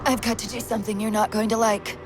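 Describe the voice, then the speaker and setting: A woman's voice: level tone, fast, natural voice. An actress playing a loyal young female AI companion in a video game, urgent and directive as she breaks down. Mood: grave. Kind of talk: dramatic video game voice acting. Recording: loud music and rumble behind voice.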